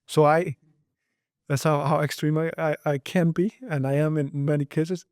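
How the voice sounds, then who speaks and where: Icelandic man, halting earnest English. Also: a little high, fairly even intonation, a little mellow, no echo, clean studio sound. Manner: earnest, halting, with many repeated words and restarts. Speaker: guest entrepreneur. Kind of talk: podcast interview.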